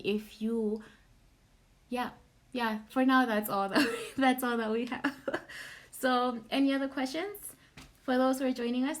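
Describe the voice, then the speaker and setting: Young woman talking off the cuff, halting. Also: on the high side. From Zimbabwe. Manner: informal, halting, repeats words while thinking. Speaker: platform host answering audience questions. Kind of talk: casual live Q&A talk.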